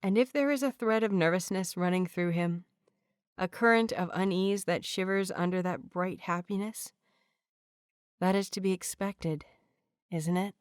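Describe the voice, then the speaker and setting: A woman narrating a fanfiction audiobook in a steady storytelling voice. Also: on the low side, normal speed, clear, resonant tone, dry recording, clean studio sound.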